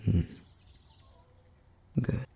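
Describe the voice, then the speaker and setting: A man playing a tender, teasing single-dad boyfriend in an intimate ASMR roleplay, with a husky, half-whispered, affectionate murmur. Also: extremely slow. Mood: warm, supportive. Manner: soft, hesitant, affectionate murmuring with soft chuckles. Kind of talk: ASMR roleplay, intimate one-sided dialogue to listener.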